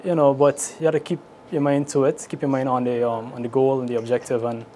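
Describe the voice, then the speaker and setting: A man's voice: medium pitch, level tone, quick pace, mellow. A man with a Guyanese accent giving informal interview answers as an air traffic controller. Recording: clearly noisy location interview.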